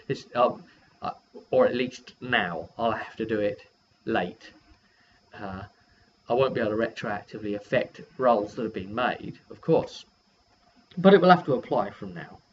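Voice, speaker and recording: A man's voice: medium pitch, normal speed. A man who works as a game master, casually recapping a role-playing session and thinking aloud in a rambling way. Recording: dull lo-fi sound with background noise.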